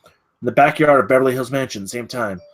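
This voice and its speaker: A man's voice: medium pitch, brisk. A man reading stage directions at a screenplay table read, in a dramatic, clipped way.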